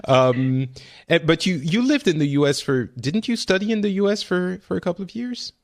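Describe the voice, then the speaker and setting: A man's voice: high-pitched, very animated, normal speed. A man in his forties from France, hosting a casual podcast discussion in a chatty, self-interrupting way. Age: 40s. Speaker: podcast host.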